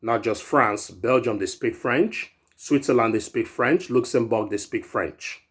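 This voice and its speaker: A man's voice: mid-range voice, measured pace, breathy edge. A man in his thirties from Europe, a fitness vlogger giving a chatty motivational talk that often ends with "right?". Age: 30s. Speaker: fitness YouTuber. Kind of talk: casual motivational vlog talk.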